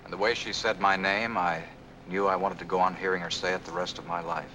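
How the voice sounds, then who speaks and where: An actor playing an earnest, lovestruck young American man, gentle and protective, in a 1940s Hollywood film. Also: low voice, flat delivery, fairly quick, a little hiss or hum, poor audio. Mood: earnest, smitten. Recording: old film soundtrack, music behind.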